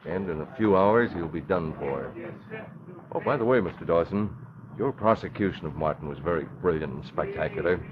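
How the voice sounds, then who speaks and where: An American actor playing a calm, courteous young newspaper publisher in a 1940s radio drama, measured and assured. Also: male voice, deep voice, a crowd in the background, close to an everyday voice. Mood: cordial. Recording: muffled old radio, busy background.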